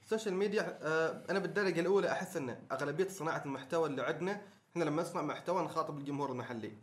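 Omani male podcast co-host, slightly high pitch, rapid-fire casual discussion. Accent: Omani Arabic. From Oman. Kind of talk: casual chat and debate.

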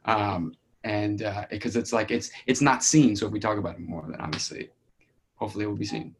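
Halting, low-pitched man in discussion. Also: gravelly, a little hiss or hum. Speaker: playwright discussing his play. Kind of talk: casual discussion, feedback session.

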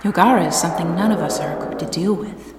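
A woman's voice: on the low side, very animated, quick pace, gravelly, noisy background. A woman playing a grave, knowing scientist in dramatic monster audio drama dialogue. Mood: grave, ominous. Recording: echoey room under music.

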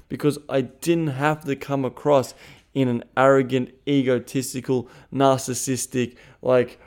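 A man with an Australian accent, co-hosting a casual podcast and giving emphatic advice, swearing freely. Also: fairly high pitch. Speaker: podcast co-host.

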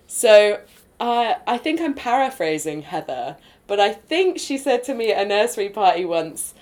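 A woman with a nasal, loud, projecting voice talks as a podcast guest. Her pitch bounces all over the place, and she is bubbly and excitable, with lots of "um"s and "like"s and quick bursts of laughter. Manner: bubbly and excitable, laughs often, many fillers. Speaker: podcast guest, improv performer. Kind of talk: casual podcast interview.

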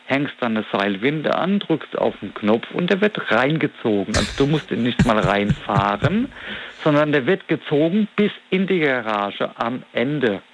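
A man's voice: medium pitch, expressive, unhurried, a little hiss or hum. Reflective man of forty, rambling. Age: 40s. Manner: reflective, rambling, searching for words. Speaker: interview guest. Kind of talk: casual conversational interview.

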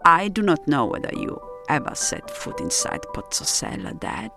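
A woman's voice: sits low, very animated, normal speed, creaky. An Italian woman with an Italian accent, narrating in a reflective, poetic, scripted style. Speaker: narrator of an audio drama. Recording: music behind the voice. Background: music.

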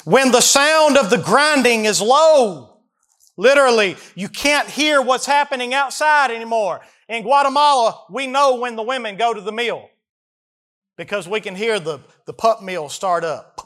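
A man's voice: very high voice, expressive, a little slow, fairly bright tone. A man in his early forties with a Southern US accent preaches an expository sermon, folksy and emphatic, putting questions to his listeners. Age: early 40s. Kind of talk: expository sermon, reading verses and explaining them.

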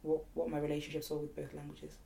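A woman's voice: deep voice, monotone, fast, gravelly, warm tone. A woman from Zimbabwe musing on a podcast, thoughtful and hesitant, with many fillers and restarts. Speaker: podcast co-host. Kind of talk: casual group chat.

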